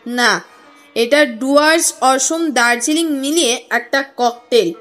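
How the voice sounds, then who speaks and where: Woman doing a calm curious detective guy in an audio story, high voice, polite but probing, slow. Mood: relaxed. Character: composed, inquisitive male detective mentor questioning a client. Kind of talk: audio story character reading. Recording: music under the voice.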